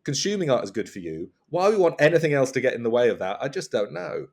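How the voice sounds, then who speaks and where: A man, a comedian guesting on a podcast, chatting casually in a halting comic way with repeated words. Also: medium pitch, very animated, gravelly. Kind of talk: casual comic panel chat.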